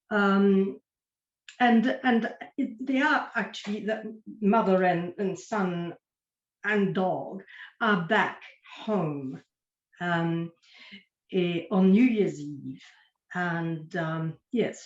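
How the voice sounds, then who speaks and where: A woman whose mother tongue is French answers questions slowly and haltingly, searching for her words. Her voice sits fairly low. It comes over a roomy, dull-sounding video call. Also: darkish tone. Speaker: guest writer. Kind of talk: conversational interview answers. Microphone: computer microphone on video call.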